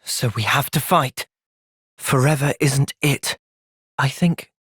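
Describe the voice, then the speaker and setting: Earnest young soldier, very dry recording. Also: male voice, mid-range voice, takes it slowly, fairly bright tone, husky, no echo. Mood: calm, thoughtful. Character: earnest, thoughtful young male soldier, gentle but resolute. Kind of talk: video game cutscene acting.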